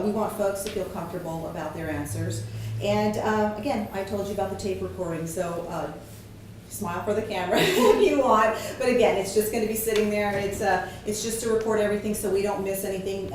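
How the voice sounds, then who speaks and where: A woman's voice: mid-range voice, darkish tone, slight background noise. A woman moderating a focus group, explaining the ground rules in a chatty way with many fillers and restarts. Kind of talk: casual group briefing. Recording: echoey hollow room, mic at a distance.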